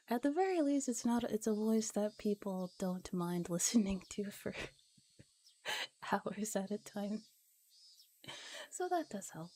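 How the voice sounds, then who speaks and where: A woman chatting casually on an ASMR livestream as a streamer who reads visual novels, soft-spoken and giggly, sometimes dropping into whispers. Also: medium pitch, expressive, slow pace, long pauses.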